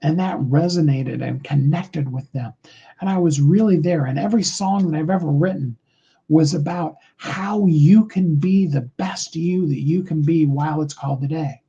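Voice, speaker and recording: A middle-aged man with a high tenor voice, giving a rambling, earnest motivational talk on a live call, full of fillers. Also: moderate pace, mellow, breathy edge, dull audio.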